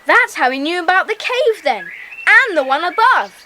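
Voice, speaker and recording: A woman's voice: very high voice, big pitch swings, moderate pace. A woman playing an earnest, confident, bossy boy gang leader in a children's audio drama. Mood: excited, assured. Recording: noisy cassette transfer.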